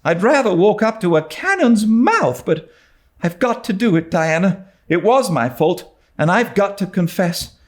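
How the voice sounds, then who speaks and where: A man's voice: sits high, big pitch swings, measured pace, voice pushed up. A man voicing an earnest, dramatic young orphan girl with a pleading, resolute manner in an audiobook. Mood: anxious, determined. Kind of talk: dramatised audiobook reading of a classic novel.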